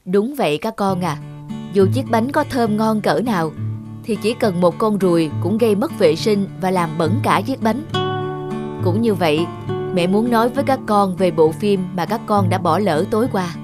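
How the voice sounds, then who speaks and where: A woman's voice: mid-range voice, moderate pace, lightly acted. Woman acting a firm, caring cartoon mother. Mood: earnest, instructive. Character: firm, caring mother of two young daughters. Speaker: voice actor in an animated moral tale. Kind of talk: animated cartoon dubbing, clear instructive dialogue. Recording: music behind the voice.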